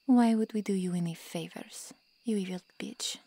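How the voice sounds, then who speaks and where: A woman playing a stern, world-weary vampire in an ASMR roleplay drama, husky, with measured, formal, solemn diction. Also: mid-range voice, mellow, dry recording, natural voice. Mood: hostile.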